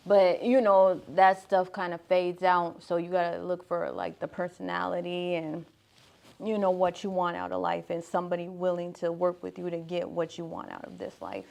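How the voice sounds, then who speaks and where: A woman's voice: lowish voice, level tone, moderate pace, creaky, a little mellow. A woman in her thirties, a guest on a casual interview, giving thoughtful, earnest advice about love. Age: 30s.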